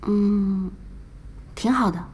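A woman playing a composed, perceptive, competitive young gamer in a radio drama, calm and understated. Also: mid-range voice, extremely slow, a little hiss or hum. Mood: gentle. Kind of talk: Chinese radio drama acting. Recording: music behind the voice.

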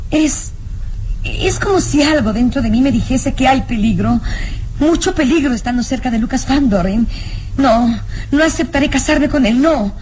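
High-voiced anxious young noblewoman. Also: expressive, moderate pace, darkish tone, poor audio. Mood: fearful, resolute. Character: anxious, defiant young noblewoman dreading arranged marriage. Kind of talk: old radio serial acting.

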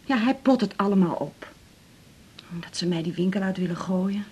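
A woman's voice: medium pitch, normal speed, darkish tone. An actress with a Netherlands Dutch accent playing an anxious, affectionate middle-aged mother in a radio drama, fretful and hesitant. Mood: anxious, confiding. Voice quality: husky, breathy.

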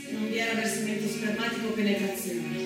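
A woman's voice: medium pitch, fast, far from the mic, close to an everyday voice. Actress as solemn declaiming woman, very echoey. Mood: emphatic, declamatory. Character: solemn female narrator reciting scripture and law. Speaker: stage actress. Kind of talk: theatrical dramatic recitation of documentary texts. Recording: very echoey stage from afar, music.

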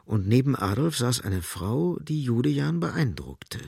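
An actor as the grave, ironic narrator of a radio play, delivering literary prose in a measured, detached manner. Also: male voice, mid-range voice, big pitch swings, gravelly, mellow, slightly rough, no echo, studio quality, heavily acted.

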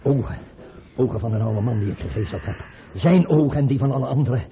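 A man's voice: medium pitch, lively intonation, fast talker, lightly acted. Man acting a haunted tsar, tinny noisy recording. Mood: haunted, fearful. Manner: anguished, theatrical delivery in broken phrases. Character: terrified, haunted male tsar, paranoid and defiant. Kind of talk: Dutch radio play acting. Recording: noisy, clicking, narrow-band old radio recording.

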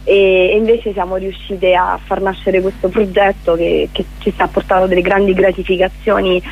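Over a narrow, tinny telephone connection, a woman speaks as a radio interview guest. Her voice sits at a middling pitch. She explains things earnestly and leans on repeated words for emphasis. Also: little pitch movement, normal speed, slightly rough. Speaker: interview guest, prison theatre project leader. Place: on a phone call to a radio show. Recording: narrow tinny phone line.

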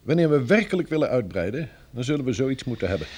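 An actor in a Dutch-language radio play as a courteous, firm envoy of a pioneer community, speaking in a measured, formal, persuasive way. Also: male voice, mid-range voice, very animated, a little mellow, breathy edge.

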